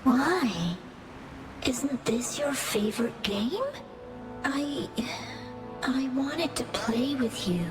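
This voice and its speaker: Very slow, high, wistful girl. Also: expressive, heavily acted. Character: wistful, eerie childlike girl longing to play. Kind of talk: video game cutscene acting. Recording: music behind the voice.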